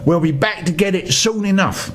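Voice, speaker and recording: An older man voicing a blustering, hearty pirate captain with bellowed, drawn-out lines for a children's storybook. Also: a bit bright. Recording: noisy home recording with rumble.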